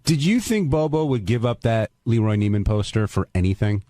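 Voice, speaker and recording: A man's voice: on the low side, lively intonation, a little fast, dark tone, dry recording, clean studio sound. A man hosting a radio talk show, steering casual banter with questions and comments. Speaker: radio host.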